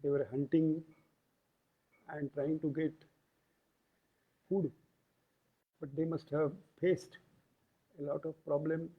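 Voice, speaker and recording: A man giving an explanatory lecture, deliberate and pausing between short phrases. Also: on the high side, flat delivery, slightly rough. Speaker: yoga lecturer. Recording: tinny, phone-like band-limited audio.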